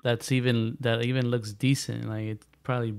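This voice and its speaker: A young man from San Jose chats easily on a podcast, sprinkling Spanish words into relaxed, slangy English. His voice is smooth and resonant and stays quite level in pitch. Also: normal speed. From raised in San Jose, California. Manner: laid-back, slangy, mixes Spanish phrases into English. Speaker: podcast guest, musician. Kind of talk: casual conversation.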